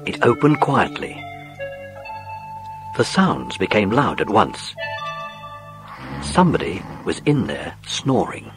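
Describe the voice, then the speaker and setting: A male narrator tells a children's adventure with theatrical suspense. His voice sits fairly low and moves with lots of expression, with music clearly playing behind it. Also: very animated, slow, pauses often. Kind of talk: scripted children's story narration.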